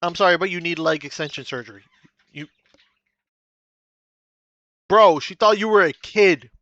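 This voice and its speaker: A man's voice: sits high, moderate pace, a bit bright, no room sound. A man reacting to a video in casual commentary, blunt and crude, repeating his phrases as he talks. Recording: slightly dull dry recording.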